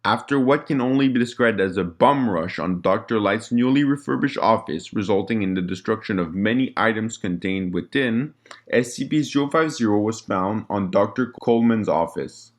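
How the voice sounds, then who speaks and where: A man with a fairly low voice reads out a written log. His delivery is formal and report-like, as scripted narration of official records. Now and then he trips slightly on a word. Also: animated, normal speed.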